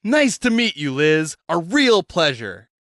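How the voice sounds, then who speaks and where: A man's voice: on the high side, sing-song, takes it slowly, a bit bright, no echo, clean studio sound, exaggerated voice. A man doing a timid, earnest talking head. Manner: hesitant, mild, earnest. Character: polite, timid middle-aged man, a talking severed head.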